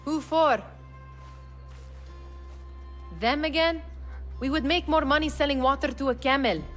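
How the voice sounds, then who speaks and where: A woman's voice: high-pitched, a little fast, a bit bright, faint music behind. An actress playing a sardonic young female thief in a video game, blunt and teasing but loyal. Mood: exasperated. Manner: dry, teasing. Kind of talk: cinematic video game acting.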